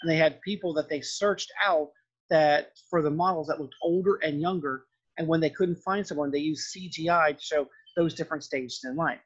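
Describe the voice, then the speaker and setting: Husky higher-voiced man giving a lecture. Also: normal speed. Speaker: art history lecturer. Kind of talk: informal lecture narration over slides and clips. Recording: dull, lo-fi lecture recording.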